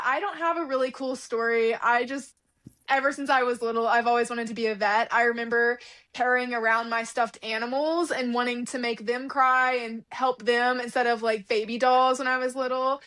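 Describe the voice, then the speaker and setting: A woman's voice: fairly high pitch, steady tone, normal speed, fairly bright tone. A young woman from Louisiana with no regional accent, a veterinarian guest chatting casually and laughing often. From Louisiana, USA. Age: young adult. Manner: friendly, laughs often, modest. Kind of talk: casual interview chat.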